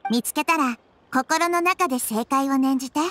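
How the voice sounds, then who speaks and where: A woman playing a calm, curious little girl deity in a Japanese game, composed and gentle in manner. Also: high voice, normal speed, a little hiss or hum. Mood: instructive. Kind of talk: Japanese anime game dialogue. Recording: faint game music behind.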